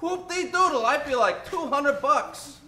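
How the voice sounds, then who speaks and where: A man's voice: very high-pitched, animated, unhurried, sharp tone, reverberant, heavily acted. A man playing a down-on-his-luck fellow in an old-time radio comedy, hammy and full of period slang. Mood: hopeful. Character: grumbling, down-on-his-luck man trying to buck up.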